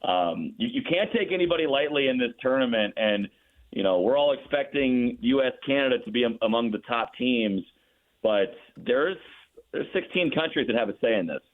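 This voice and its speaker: A man's voice: medium pitch, expressive, moderate pace. Over a thin, phone-like connection comes a man with a mid-range voice. He is a sports broadcaster giving enthusiastic analysis in a loose, conversational style. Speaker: radio play-by-play broadcaster as guest. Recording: narrow muffled phone-like line.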